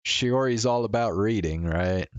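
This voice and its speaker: A man's voice: on the low side, takes it slowly, dry recording, muffled recording, faint music behind. A man streaming a visual novel, mixing read-aloud narration with casual commentary on the game.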